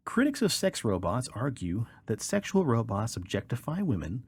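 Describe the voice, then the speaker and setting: A man's voice: mid-range voice, big pitch swings, normal speed, a little mellow, husky, no echo. A man hosting a podcast, reading articles aloud and chatting in an informal, colloquial way. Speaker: podcast host.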